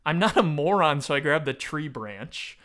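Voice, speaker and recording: A man's voice: a little high, expressive, normal speed, a bit bright. A man chatting casually about a book as a podcast co-host, joking and self-deprecating. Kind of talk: casual book discussion with read-aloud passages.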